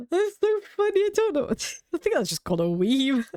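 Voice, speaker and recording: A woman's voice: high-pitched, big pitch swings, measured pace, mellow, no room sound. A young woman streaming a game at home, chatting casually with viewers, laughing often and filling her talk with "like". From United Kingdom. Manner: chatty and giggly, many "like" fillers.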